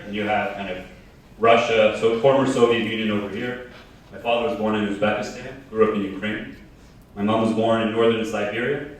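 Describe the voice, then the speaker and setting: A man's voice: on the low side, fairly bright tone, recorded from a distance, a little hiss or hum. A man in his thirties giving a casual, joking keynote talk to a live audience, telling his story in a large conference hall. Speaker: keynote speaker. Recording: very echoey hall, heard from afar.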